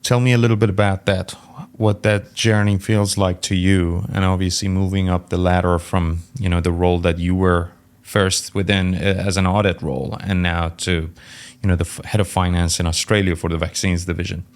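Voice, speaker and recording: Low-voiced male podcast host. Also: normal speed, few pauses. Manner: relaxed, prompting with short acknowledgements. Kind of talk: conversational interview questions.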